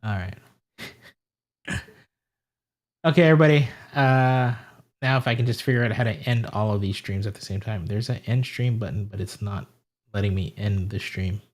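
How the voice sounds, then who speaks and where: A man with a somewhat low voice hosts a relaxed live stream. He chats loosely with viewers and thinks out loud as he tries out stream settings, in a rambling, easygoing way. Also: normal speed, long pauses. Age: adult with a wife and family. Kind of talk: casual live-stream chat.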